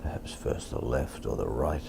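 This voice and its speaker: Deep-voiced Australian man, calm hypnotist. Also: vocal fry, noisy background. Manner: calm, repetitive, deliberate. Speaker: hypnotist teaching and demonstrating. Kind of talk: hypnosis instruction and induction, softly spoken.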